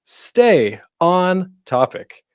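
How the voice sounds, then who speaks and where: A man's voice: high-pitched, sing-song, extremely slow, smooth, no room sound, clean studio sound. A man presenting an instructional panel in a casual, informal way, often breaking off into asides. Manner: informal, self-interrupting, tangential asides.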